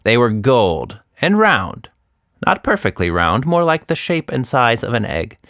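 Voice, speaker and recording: A man's voice: mid-range voice, big pitch swings, measured pace, fairly bright tone, no echo, clean studio sound. A man voicing a talkative, short-sighted female monkey who rambles and interrupts in a children's story. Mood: matter-of-fact.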